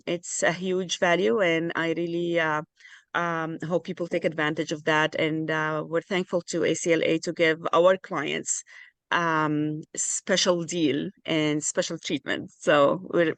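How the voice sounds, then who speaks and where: A woman offers thanks over a video call in a halting way, with many fillers. Her voice is low and gravelly with fry. The sound is dry. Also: little pitch movement, a bit bright, no echo. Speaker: partner organisation representative.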